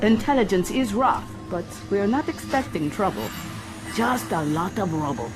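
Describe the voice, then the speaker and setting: Female ship AI played by an actress: wry, precise, clinical, mid-pitched, set against music. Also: expressive, normal speed, close to an everyday voice. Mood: wry, reassuring. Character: wry, composed female shipboard artificial intelligence. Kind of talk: science fiction audio drama acting.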